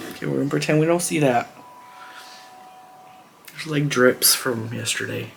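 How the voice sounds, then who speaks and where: Hoarse woman chatting casually to camera. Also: sits low, measured pace, a little hiss or hum. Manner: chatty, rambling and warm toward viewers. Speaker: crochet channel host.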